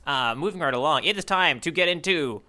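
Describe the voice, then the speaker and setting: A man co-hosting a podcast, casually recapping a story in a halting way with frequent "you know" asides. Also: fairly high pitch, expressive, bright, forceful tone. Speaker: podcast co-host recapping a manga chapter.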